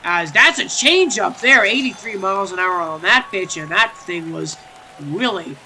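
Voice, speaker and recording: A man's voice: sits high, lively intonation, normal speed, sharp tone, slight background noise. A young man with a stuffy, congested voice doing chatty play-by-play commentary over a baseball video game, full of fillers and restarts and rising when a big play happens.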